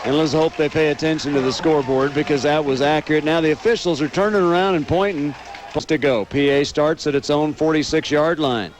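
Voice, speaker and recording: A man's voice: fairly high pitch, fairly quick, slight background noise. An American man doing live radio play-by-play commentary of a football game.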